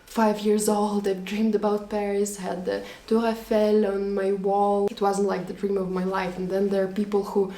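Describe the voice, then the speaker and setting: Young woman vlogger, warm, chatty, searches for words, roomy sound. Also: medium pitch, little pitch movement, normal speed, hardly any pauses. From Latvia. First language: Russian. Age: young adult. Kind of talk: storytime vlog.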